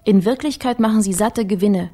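A shrewd woman researcher, played by an actress in a radio crime drama, with a medium-pitched voice. She explains things coolly and confidently. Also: brisk, dark tone, husky, soft background music, natural voice. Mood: cool, explanatory. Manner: matter-of-fact, confident.

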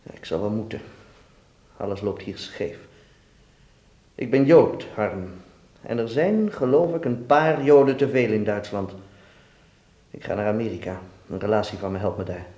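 A man's voice: fairly low pitch, expressive, moderate pace, dark tone, close to an everyday voice. A man acting a worldly, melancholy dance teacher in a radio play, musing and fatherly with his pupil. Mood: wry resignation. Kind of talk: Dutch radio drama acting. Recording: echoey room sound.

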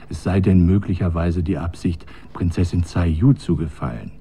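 An actor playing a dry, pedantic court scholar in a radio drama, speaking precisely with a husky, breathy voice. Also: male voice, sits low, warm tone. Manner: precise, bookish.

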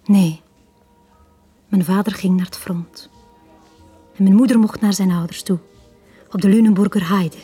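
A woman's voice: medium pitch, steady tone, unhurried, pauses often, warm tone, slightly rough, soft background music. An actress playing a wistful woman confiding her past in a radio drama, with a Flemish accent and an intimate, near-whispering delivery. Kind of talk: 1980s Dutch-language radio drama acting.

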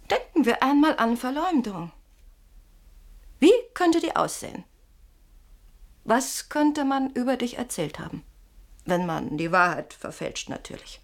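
A sleepless wife in a radio play, needling and insinuating. Her voice sits fairly high and moves through very animated melodies. She probes with mock sweetness and theatrical irony. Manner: theatrical, probing, ironic. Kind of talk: German radio play acting.

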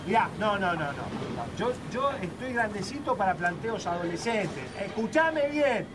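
Hot-headed factory man, played by an actor: high, swinging Rioplatense voice, aggressive, crude, stammering, noisy radio-drama scene. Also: big pitch swings, moderate pace. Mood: furious. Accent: Rioplatense Argentine. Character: hot-tempered, crude male factory worker on the phone. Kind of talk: naturalistic radio drama acting. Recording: noisy ambient location sound.

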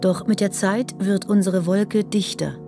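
An actress playing a brooding, oracular voice of sadness, solemn and deliberate, in a poetic radio drama. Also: female voice, medium pitch, fairly even intonation, music in the background, close to an everyday voice. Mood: ominous, solemn.

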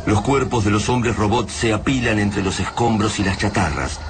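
A man narrating a radio drama in a grave, measured storytelling voice. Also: fairly low pitch, background noise.

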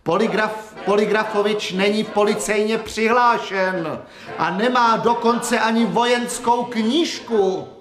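A man playing a zealous house committee leader in a radio drama, speaking in a rousing, declamatory way. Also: very high-pitched. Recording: echoey room with music behind.

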